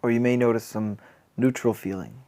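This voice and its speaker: A man with a mid-pitched voice leads a guided meditation. His delivery is very even and barely moves in pitch. He speaks gently and calmly, leaving long, unhurried pauses between phrases. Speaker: mindfulness teacher. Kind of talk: guided body scan meditation.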